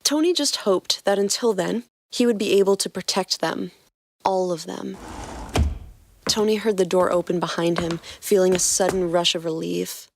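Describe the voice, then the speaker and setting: A woman's voice: fairly low pitch, moderate pace, creaky. A woman podcast co-host who reads fan fiction aloud and trades playful banter with her partner.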